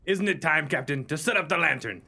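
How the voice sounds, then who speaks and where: A brisk, dutiful pirate mate, played by a man in a radio comedy. The voice is fairly high and very bright and crisp-edged. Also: expressive, exaggerated voice. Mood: brisk, cheerful. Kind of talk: comic radio play acting.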